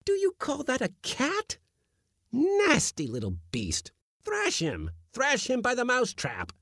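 A man's voice: a little high, very animated, slow, darkish tone, no echo, studio quality, pitched above the performer's own voice. A man voicing an irascible middle-aged male Latin schoolmaster who blusters and scolds in a raised, strained voice. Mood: furious.

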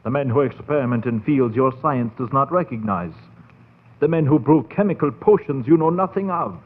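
A man's voice: medium pitch, expressive, normal speed, close to an everyday voice. An actor playing a sly, proud German doctor in a 1940s radio horror drama, theatrical and insinuating in his emphasis. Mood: sly, tempting. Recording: tinny phone-like 1940s radio recording.

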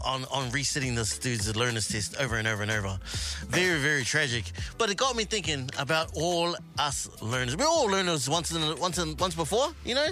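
A man's voice: on the high side, sharp tone. A man co-hosting a radio show, bantering playfully and teasing his co-host. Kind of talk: casual banter.

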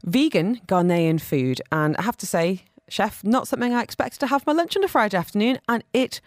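A woman's voice: medium pitch, expressive, brisk, no echo. Effusive woman radio host, close dry sound. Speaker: radio food show host. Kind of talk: radio presenting and interview chat. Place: radio studio.

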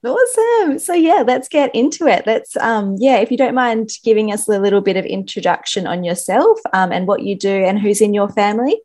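A woman with a croaky voice hosting a casual podcast interview, chatty and upbeat with lots of "um"s. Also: mid-range voice, lively intonation, fairly quick, hardly any pauses, darkish tone. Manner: chatty, filler-heavy, upbeat. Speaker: podcast host.

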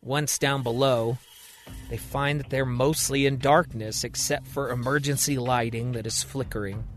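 A man telling a serial thriller in steady, expressive scripted narration. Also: medium pitch, normal speed. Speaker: storyteller.

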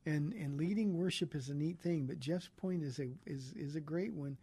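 A man's voice: fairly high pitch, normal speed, warm tone, husky, no room sound. A man hosting a call-in radio show as a pastor, answering callers in an earnest, encouraging way and often restarting his sentences. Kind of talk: call-in radio Bible talk.

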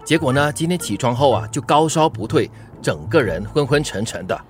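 An actor playing a friendly, fussing middle-aged uncle in a radio drama, colloquial and hesitant, full of interjections. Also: male voice, medium pitch, big pitch swings, brisk, faint music behind, natural voice. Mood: reassuring. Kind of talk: Mandarin radio drama acting.

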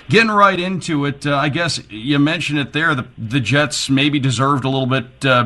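Male sports radio host, mid-range and bright, forceful edge, punchy broadcast delivery. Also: medium pitch.

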